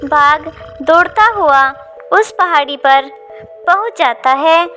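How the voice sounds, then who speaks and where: A woman's voice: high-pitched, slow, sharp tone, faint music behind. A woman narrating a scripted animal story for children as its storyteller.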